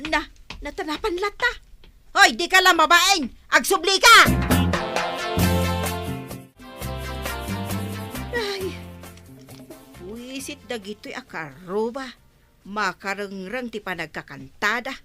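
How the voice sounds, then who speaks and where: A woman's voice: high-pitched, sing-song, unhurried, bright, forceful tone, soft background music, exaggerated voice. A woman playing a nosy, irritable village wife who nags and gossips, in a radio drama with an Ilocano accent. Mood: irritated, grumbling. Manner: nagging, exclamatory, gossipy. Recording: noticeable background noise.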